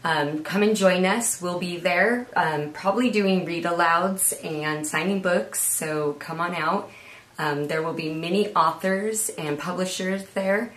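A woman's voice: lowish voice, a little slow, fairly bright tone. A woman in her thirties, a guest author, talking informally to the camera. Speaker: children's book author, guest. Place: small indoor room with window air conditioner. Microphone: camera a few feet away. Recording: echoey room.

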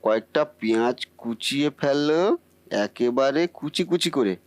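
A man's voice: mid-range voice, normal speed, clean tone, dry recording, close to an everyday voice. A man voicing a bumbling, boastful cartoon husband who cannot cook, muttering theatrically to himself. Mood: pondering. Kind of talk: comic Bengali cartoon acting.

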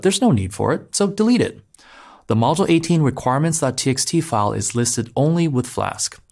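A man giving a scripted, step-by-step narration of a software migration tutorial, speaking clearly and steadily as a voice-over. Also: medium pitch. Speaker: developer advocate. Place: voice-over over screen recordings.

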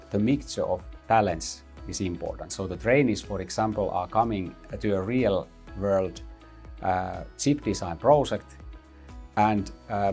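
A middle-aged man explaining a chip design program in an office, pausing often with fillers. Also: deep voice, darkish tone. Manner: measured, many "uh" pauses. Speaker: university computing head. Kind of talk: interview explanation. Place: office lab with whiteboard, indoors.

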